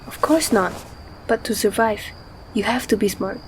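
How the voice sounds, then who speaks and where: A medium-pitched motherly voice acted by a woman in a radio drama. She is serious, pragmatic and protective, with faint night insects in the background. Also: normal speed, a little hiss or hum, natural voice, poor audio. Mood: serious, reassuring. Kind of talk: amateur radio drama acting.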